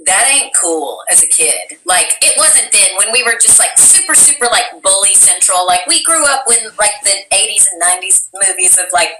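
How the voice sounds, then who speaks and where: A deep-voiced woman voice actress chatting casually, animated and full of fillers. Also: normal speed, hardly any pauses. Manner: animated, rambling with many fillers. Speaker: voice actress and teacher. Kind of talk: casual interview chat. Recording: roomy echo, distant mic, faint music.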